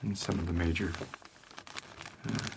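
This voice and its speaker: Deep and very unhurried, this is a shady, laid-back homicide detective, played by a man for an ASMR roleplay. He rambles haltingly and stays deadpan, with clear noise in the recording. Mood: deadpan, musing. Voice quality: low, creaky.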